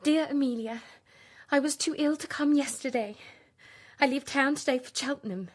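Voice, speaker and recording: An actress playing a kind, naive young lady in a radio period drama, with a gentle, sincere manner. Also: sits high, normal speed, lightly acted. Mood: tender concern. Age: young adult.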